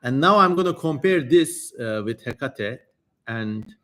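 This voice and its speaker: A man's voice: medium pitch, expressive, measured pace, bright, forceful tone. A man hosting a casual livestream, reading aloud and commenting in a wry, playfully theatrical way. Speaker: livestream host.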